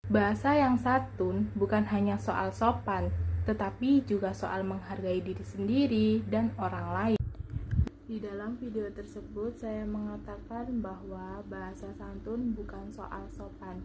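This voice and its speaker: A young adult woman speaks at a medium pitch. She delivers a scripted student presentation in a formal, careful way. The recording has clear background noise. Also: fairly even intonation, measured pace.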